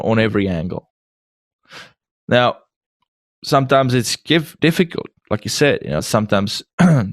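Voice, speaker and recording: Lowish male podcast host, dry sound. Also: no echo. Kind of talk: casual conversational interview.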